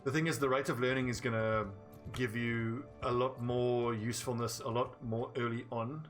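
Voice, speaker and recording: A man's voice: mid-range voice, flat delivery, normal speed, soft background music. A young South African man with a South African accent, chatting casually while playing a game on a livestream and answering his chat. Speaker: streamer. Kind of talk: casual gameplay livestream commentary.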